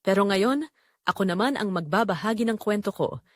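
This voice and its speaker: Woman as reflective young barista, lively intonation. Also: medium pitch, a little fast, dry recording, clean studio sound, close to an everyday voice. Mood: friendly greeting. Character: young female graduate barista, reflective, quietly romantic. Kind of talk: first-person scripted audio drama narration.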